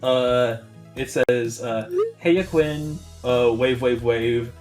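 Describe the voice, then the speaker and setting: Guy playing a tabletop rpg, casual improvised narration, average pitch, music under him. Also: very slow, a little mellow. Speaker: player in a tabletop roleplaying game.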